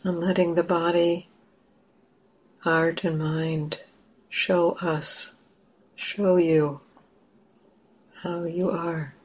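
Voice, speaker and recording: A woman leading a guided meditation as a teacher, gentle and unhurried, leaving long pauses. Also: fairly low pitch, level tone, a little hiss or hum, poor audio.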